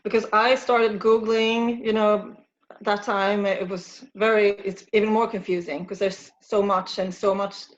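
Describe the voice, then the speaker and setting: A woman with Swedish as her first language, hosting an interview in English with soft, hesitant questions drawn from her own experience. Her voice sits at a middle pitch, and the audio comes through dull and lo-fi. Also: flat delivery, unhurried. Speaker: interview host. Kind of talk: casual interview. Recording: dull lo-fi sound.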